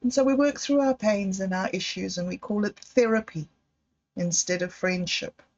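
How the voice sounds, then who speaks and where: A woman hosting a livestream, talking slowly and candidly in a meandering personal monologue. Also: mid-range voice, a little mellow. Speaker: livestream host. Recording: dull lo-fi livestream audio.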